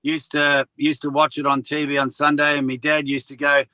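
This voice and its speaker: A man's voice: fairly high pitch, flat delivery, normal speed, sharp tone, no echo. An older Australian man, a former wrestler and actor, co-hosting a casual interview with a chatty, joking manner. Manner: chatty, jokey, trails off searching for names.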